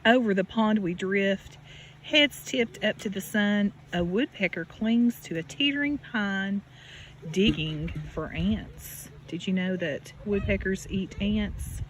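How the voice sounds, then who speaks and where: A woman teacher reading a picture book aloud to children, deliberate and expressive, with questions and asides to her listeners. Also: mid-range voice, rough recording. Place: outdoors beside a natural pond. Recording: steady outdoor background noise. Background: birds and outdoor ambience.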